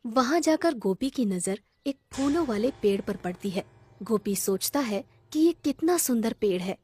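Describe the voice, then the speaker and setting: A woman's voice: on the high side, expressive, normal speed, mellow, slight background noise. A woman narrating a scripted children's story.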